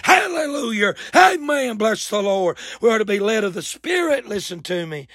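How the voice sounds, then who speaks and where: A man preaching a fervent church sermon in a raspy voice, with emphatic, folksy exclamations and repeated phrases. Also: extremely high pitch, lively intonation, normal speed, a bit bright, no room sound, studio quality. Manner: folksy, colloquial.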